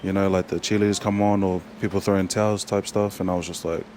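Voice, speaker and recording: A young man with a New Zealand accent, a rugby player chatting as a guest in a casual interview, halting and full of fillers and restarts. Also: sits low, little pitch movement, a little mellow, a little hiss or hum.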